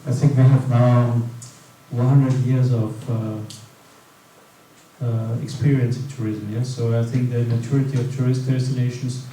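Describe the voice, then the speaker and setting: A man's voice: mid-range voice, little pitch movement, normal speed, dark tone. A man, answering as a panelist with many restarts and fillers. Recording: echoey hall, distant mic, faint noise.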